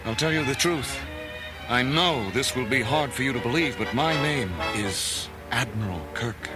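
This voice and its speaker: Actor as earnest starship admiral over loud music. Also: male voice, mid-range voice, normal speed, close to an everyday voice. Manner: earnest, authoritative. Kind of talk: dramatized children's read-along storybook acting.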